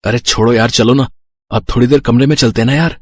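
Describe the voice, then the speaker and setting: A man's voice: medium pitch, fairly even intonation, fast talker, a little hiss or hum, lightly acted. A man voicing a bashful, hesitant young son in love in a cartoon story. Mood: coaxing. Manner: hesitant, filler-laden. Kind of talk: Hindi cartoon story dialogue.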